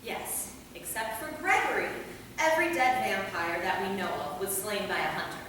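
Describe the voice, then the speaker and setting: A woman playing a centuries-old vampire who explains things in a measured, matter-of-fact way in a comic staged reading. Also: medium pitch, a bit bright, close to an everyday voice. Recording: echoey hall from far off, noisy.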